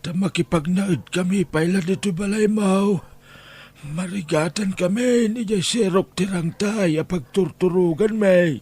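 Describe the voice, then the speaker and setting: A weary older Ilocano husband , played by a man, in an audio drama. His voice is high and husky, and he speaks slowly and politely, with a plaintive humility. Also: darkish tone. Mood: pleading. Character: humble, weary older homeless husband seeking shelter.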